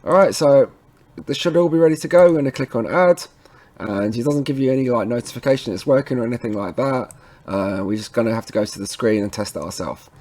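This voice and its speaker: Male tutorial presenter, mid-pitched, informal and reassuring, talking the viewer through steps with frequent check-ins. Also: fast, a little mellow. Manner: informal, reassuring, often checks in with tag words. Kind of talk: casual screen-recorded how-to tutorial.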